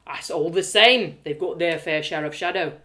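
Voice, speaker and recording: A woman's voice: low-pitched, normal speed, bright, forceful tone. A woman voicing a kindly, plain-spoken male cobbler who frets over his friend in a fantasy audio story. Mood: worried, cautioning. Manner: folksy, plain-spoken, protective. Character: kindly gruff working man, cobbler, worried friend.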